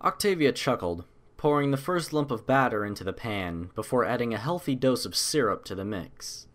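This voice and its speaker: A man's voice: mid-range voice, expressive, moderate pace, a bit bright. A man reading scripted story narration as the narrator of an audio tale.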